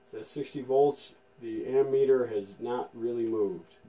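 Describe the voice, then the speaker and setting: A man's voice: medium pitch, a little slow, mellow, poor audio. A man giving a casual hands-on demonstration as a vintage radio restorer, thinking aloud in an informal, tentative way.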